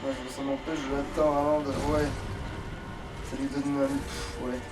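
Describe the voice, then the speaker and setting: A man's voice: medium pitch, little pitch movement, measured pace, distant mic, low-quality recording. A man giving casual, sweary and exasperated commentary while he plays a video game. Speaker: let's player playing the game. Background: video game music.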